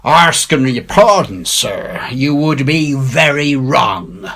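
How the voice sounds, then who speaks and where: A man's voice: mid-range voice, sing-song, slow, bright, forceful tone, voice pushed up, exaggerated voice. A man voicing a wily, ingratiating old pirate for a storybook, with a deferential, rustic sailor's way of talking. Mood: earnest, ingratiating. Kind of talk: dramatic storybook character reading.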